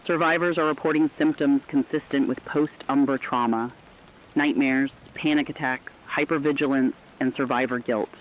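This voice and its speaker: A man's voice: medium pitch, a little hiss or hum. Solemn man on a dull lo-fi broadcast. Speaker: radio newscaster in a horror story. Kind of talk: scripted emergency radio broadcast narration.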